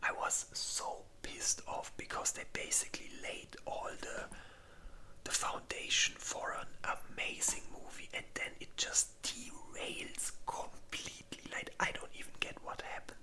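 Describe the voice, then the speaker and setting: This is an ASMR creator speaking entirely in a breathy whisper with a very bright, airy edge. The delivery is informal and rambling, like a casual chat, with loose, unpolished phrasing. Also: low-quality recording.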